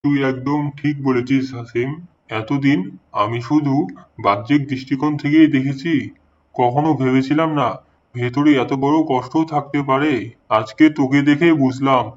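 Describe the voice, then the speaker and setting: A man playing an earnest, serious father in a cartoon moral story, speaking in a measured, deliberate way. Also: mid-range voice, fairly even intonation, lo-fi sound, close to an everyday voice. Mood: reflective. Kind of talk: dramatised moral story dialogue.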